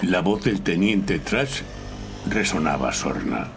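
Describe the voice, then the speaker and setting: An actor playing a lawyer who narrates his own case in a live audio drama, deliberate and wry. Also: low voice, expressive, full character voice. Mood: dry. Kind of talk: scripted first-person audio drama narration. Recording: noisy live stage recording before an audience.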